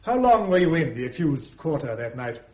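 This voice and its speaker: An actor playing a formal, probing British army major prosecuting at a court-martial in a radio drama. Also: male voice, a little high, sing-song, a little fast, darkish tone. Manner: formal, precise, questioning. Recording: roomy, dull radio drama recording.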